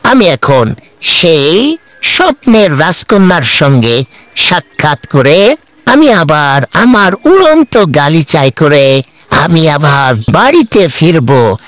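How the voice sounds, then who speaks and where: A man voicing an excitable girl adventurer in a cartoon, talking in a sing-song, exclamatory way. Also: on the high side, slow pace, dry recording, heavily acted. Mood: gleeful, dreamy. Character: excitable girl adventurer flying on a magic carpet. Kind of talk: improvised cartoon character narration.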